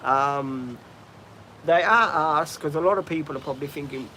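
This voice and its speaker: A man's voice: on the high side, moderate pace, pushed and bright, slight background noise, rough recording. An Australian man hosting a casual live stream, chatting in a rambling way with frequent ums and restarts. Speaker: livestream host. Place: outdoors, birds in trees nearby.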